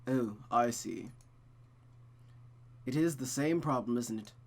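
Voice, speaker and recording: A man's voice: medium pitch, slow, darkish tone, natural voice. A man voicing a cold, controlling, condescending mother from a visual novel. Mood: probing, stern.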